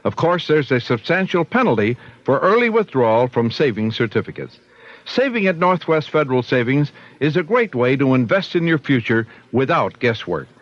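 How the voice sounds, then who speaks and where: A man's voice: mid-range voice, very animated, moderate pace. A man delivering a scripted radio advertisement as a commercial announcer. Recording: dull 1970s radio recording.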